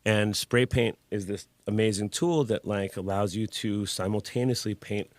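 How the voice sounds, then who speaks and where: A man's voice: on the low side, moderate pace, dry recording. A man in his forties, a guest artist telling his story in a candid, laid-back way with casual swearing. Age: 40s. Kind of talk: casual interview conversation.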